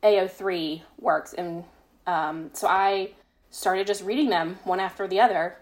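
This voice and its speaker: A woman's voice: on the low side, steady tone, unhurried. Gushing woman in casual podcast chat. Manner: gushing, emphatic enthusiasm. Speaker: interviewed fan fiction author.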